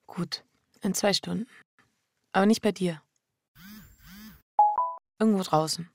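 A woman's voice: medium pitch, a little slow, vocal fry, darkish tone, no room sound. An actress playing a restless, introspective wife confessing her affair in an intimate radio drama. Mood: curt, evasive. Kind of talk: intimate first-person radio drama acting.

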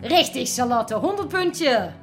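An actress playing a relentlessly cheerful Dutch singing teacher in a children's radio play, gushing and sing-song, with a Dutch accent. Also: female voice, sits high, sing-song, a little slow, heavily acted. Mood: bubbly, coaxing. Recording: music behind the voice.

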